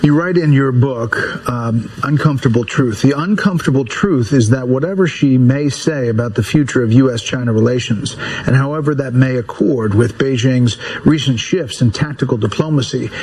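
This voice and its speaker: Husky-voiced male interviewer. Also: medium pitch, a little mellow, low-quality recording. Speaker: interviewer, host. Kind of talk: news interview questioning.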